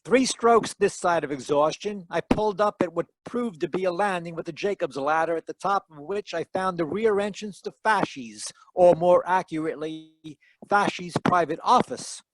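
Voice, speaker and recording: A man playing a wry, deadpan hardboiled detective who narrates his case in 1940s radio style. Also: sits high, moderate pace, few pauses, dry recording, close to an everyday voice, poor audio. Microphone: close home mic on a video call.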